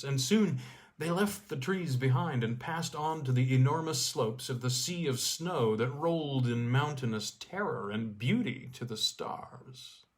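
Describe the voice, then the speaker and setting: Man narrating a literary tale dramatically. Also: medium pitch. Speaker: storyteller, audiobook reader.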